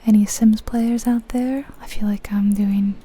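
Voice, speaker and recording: A woman gives casual ASMR game commentary in a soft, breathy whisper. She goes slowly and gently, thinking aloud with very little rise or fall in her delivery. There is faint noise behind her voice. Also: medium pitch, steady tone. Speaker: ASMR video creator, host.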